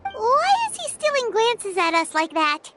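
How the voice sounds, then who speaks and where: A woman voicing a small, easily flustered companion creature in a video game, with a squeaky, exclamatory delivery. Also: big pitch swings, unhurried, background noise, heavily acted. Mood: flustered, bewildered. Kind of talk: video game character acting. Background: music under the voice.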